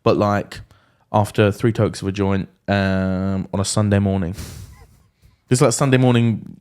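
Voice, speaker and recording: A man's voice: on the low side, fairly even intonation, a little slow, darkish tone, clear, resonant tone. A man co-hosting a podcast in a casual, joking conversation about music. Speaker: podcast co-host. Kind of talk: casual comedic music chat.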